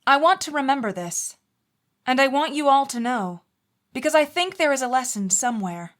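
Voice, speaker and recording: A woman playing a haunted mother in her forties, telling a first-person horror story in a measured, earnest, confiding way. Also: high-pitched, expressive, a bit bright. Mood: sober, confiding. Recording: music bed under the voice.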